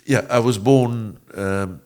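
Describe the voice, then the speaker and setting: Older man, low voice, chuckling. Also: takes it slowly, a little mellow. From Egypt, raised in South Africa. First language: Italian. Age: 70s. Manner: chuckles often, rambling with restarts. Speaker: guest. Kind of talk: interview conversation.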